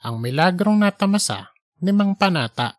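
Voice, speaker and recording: A man's voice: high-pitched, animated, moderate pace, over music. A man, hosting and reading a scripted audiobook-style story. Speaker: podcast host and storyteller.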